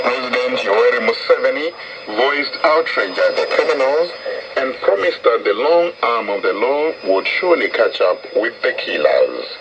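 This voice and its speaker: A man's voice: mid-range voice, highs cut off, background noise. A man delivering a scripted news report as a radio reporter, heard over a shortwave broadcast.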